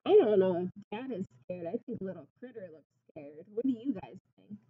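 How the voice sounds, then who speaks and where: A woman in her twenties reading a picture book aloud like a teacher, expressively, pausing to put simple questions and explanations to young children. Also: medium pitch, lively intonation, slightly rough, muffled recording. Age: 20s. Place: small classroom, indoors.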